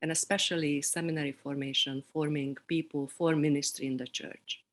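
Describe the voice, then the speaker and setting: A middle-aged woman with a Hungarian accent, speaking reflectively as an interview guest, pausing between short phrases. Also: low voice, fairly even intonation. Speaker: interview guest, seminary program director.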